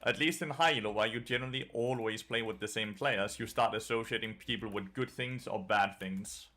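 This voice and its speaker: A man's voice: lowish voice, brisk, sharp tone. A man with a Danish accent, casually commentating his own gameplay while streaming and answering chat. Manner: casual, swears, answers chat between plays. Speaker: game streamer and coach.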